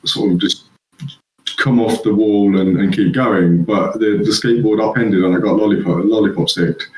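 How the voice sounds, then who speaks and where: A man's voice: lowish voice, moderate pace, reverberant, a little hiss or hum, poor audio. A man from England, a guest, chatting casually and rambling through his childhood stories. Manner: rambling, laughs at own stories. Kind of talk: casual chat.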